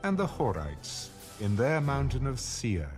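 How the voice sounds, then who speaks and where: A man giving a scripted narration of scripture in a measured, formal way. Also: medium pitch, wildly swooping pitch, music in the background. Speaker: audio Bible narrator.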